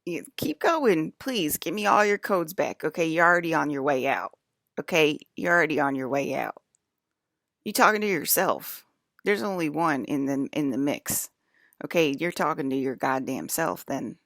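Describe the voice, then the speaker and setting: A woman's voice: low-pitched, lively intonation, normal speed, gravelly, a bit bright, dry recording, clean studio sound. A woman in her fifties talking straight to her viewers in a rambling, confrontational monologue, full of fillers and swearing. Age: 50s. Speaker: video blogger.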